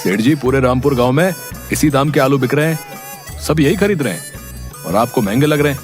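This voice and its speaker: A man's voice: medium pitch, animated, brisk, soft background music, lightly acted. A man voicing a plain-spoken village working man in a cartoon story, respectful but matter-of-fact. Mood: firm, matter-of-fact. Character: plain-spoken village working men: vendor, servant, cart driver. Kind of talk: animated moral-story cartoon acting.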